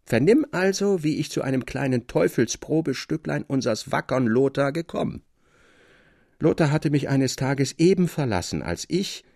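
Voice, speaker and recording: A man playing a cheerful recovering invalid who tells stories in an expressive, articulate, theatrical way for a literary radio drama. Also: mid-range voice, moderate pace, gravelly, no echo, studio quality. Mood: playfully teasing. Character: Theodor, cheerful man recovering from illness, enthusiastic storyteller. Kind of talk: literary radio reading with acted dialogue.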